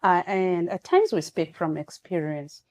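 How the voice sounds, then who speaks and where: A woman hosting an online talk show, making slow, repeated appeals to her viewers. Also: on the low side, animated, darkish tone.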